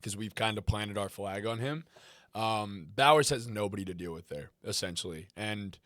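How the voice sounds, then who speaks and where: A man co-hosting a casual fantasy football discussion, talking quickly with frequent restarts. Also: fairly low pitch, a bit bright, no room sound, studio quality. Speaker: co-host.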